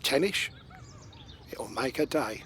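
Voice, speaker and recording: A man's voice: mid-range voice, lively intonation, slow pace, fairly bright tone, a little hiss or hum. An actor playing a weary, fatherly older ex-convict, grave and plain-spoken in a radio play. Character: weary, fatherly old ex-convict giving stern advice. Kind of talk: radio comedy-drama acting.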